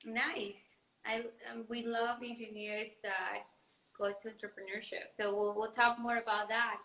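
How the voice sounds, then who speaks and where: A woman's voice: a little high, level tone, a little slow, sharp tone, echoey room, poor audio. A woman co-hosting a casual live stream, chatty and bubbly, laughing often. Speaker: co-host.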